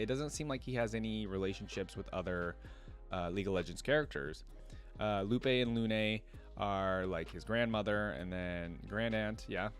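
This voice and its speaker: A man hosting a reaction video with casual commentary, informal and thinking aloud as he goes. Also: medium pitch.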